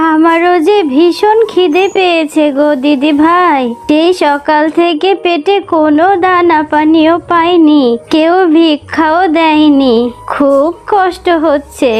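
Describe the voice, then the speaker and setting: A woman voicing a gentle, weary old beggar vixen granny in a children's cartoon, speaking tenderly and plaintively. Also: extremely high pitch, fairly even intonation, takes it slowly. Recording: music behind the voice.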